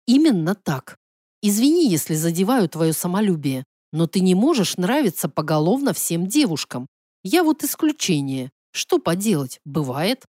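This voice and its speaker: Женщина в роли строптивой девушки, живые интонации. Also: female voice, lowish voice, very animated, normal speed, dry recording, clean studio sound. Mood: dismissive. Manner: sharp retorts, anxious, emotional. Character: defiant, nervous young woman cornered by a prince. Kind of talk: audiobook character voice.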